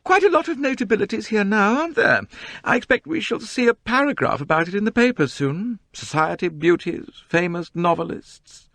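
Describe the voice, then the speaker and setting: Man putting on a high, complaining lady novelist. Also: expressive, normal speed, a bit bright, dry recording. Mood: gushing. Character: pompous middle-aged woman novelist, gushing, self-important.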